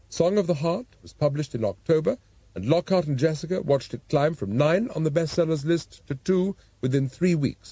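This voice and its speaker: Wry, expressive male narrator. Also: mid-range voice, slightly rough, dry recording. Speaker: audiobook narrator. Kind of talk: scripted audiobook narration.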